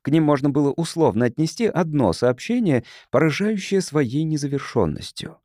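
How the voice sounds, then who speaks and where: A man's voice: a little high, very animated, moderate pace, mellow, no echo, clean studio sound. A man narrating a science fiction story as a scripted audiobook reading.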